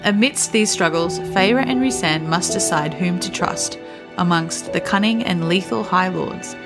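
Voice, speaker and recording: A woman's voice: fairly low pitch, a little slow, over loud music. A woman co-hosting a book podcast, speaking as part of a casual group discussion. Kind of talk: casual book podcast talk and synopsis reading.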